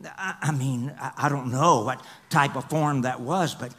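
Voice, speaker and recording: A middle-aged man preaching a sermon on a large church stage into a headset mic, swinging from loud, excited passages to hushed whispers. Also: mid-range voice, measured pace. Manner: paces the stage and gestures. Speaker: pastor.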